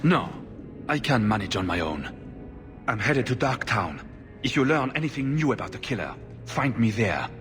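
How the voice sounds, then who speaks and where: A man's voice: medium pitch, sing-song, normal speed, fairly bright tone, soft background music. A man acting a desperate, vengeful blood mage in a video game, intense, defensive and insistent. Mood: grim, determined. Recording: noisy, long-echoing hall.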